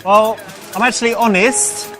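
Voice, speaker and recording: A man's voice: high voice, fairly even intonation, moderate pace, lightly acted. A man playing a confident, flirty clubgoer in a live-action game, with a playful, self-assured way of talking. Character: confident, flirty, teasing man chatting someone up at a club. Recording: loud club music behind.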